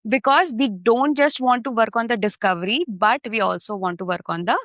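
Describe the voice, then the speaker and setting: A woman appears as a podcast guest, talking in a fairly high voice. She explains her work through anecdotes and often checks in with "okay?" and "right?". Her voice sounds close and dry on the mic. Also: brisk, fairly bright tone, dry recording. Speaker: podcast guest, content marketer. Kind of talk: podcast interview.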